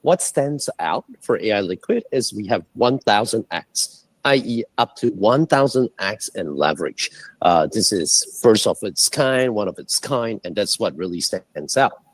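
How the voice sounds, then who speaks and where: Singapore-born guy on a remote call, low husky voice, pitch bouncing all over as he explains stuff. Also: a little slow, no room sound. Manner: animated, explanatory, pitch jumping on numbers and key points. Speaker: guest, head of global strategy. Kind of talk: livestream interview talk. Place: indoors, calling in from abroad.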